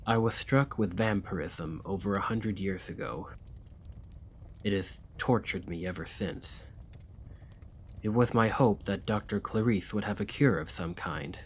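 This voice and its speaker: A man playing a centuries-old vampire gentleman in a scripted ASMR roleplay, weary and courteous, with formal, old-fashioned manners and a measured delivery. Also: fairly low pitch, a little hiss or hum. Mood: confessional, sorrowful.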